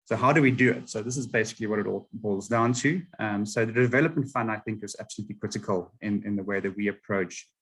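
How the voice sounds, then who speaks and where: A South African man presenting slides to a webinar audience, steady and explanatory with frequent ums. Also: fairly low pitch, quick pace, hardly any pauses, breathy edge, rough recording. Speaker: webinar panelist.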